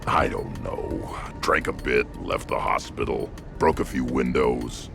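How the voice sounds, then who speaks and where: A man voicing a young, hulking alien brute in a video game, blunt and deadpan, with a growling voice. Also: very deep voice, measured pace, music in the background, exaggerated voice. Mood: deadpan, unbothered. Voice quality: gravelly, growling. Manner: terse, deadpan.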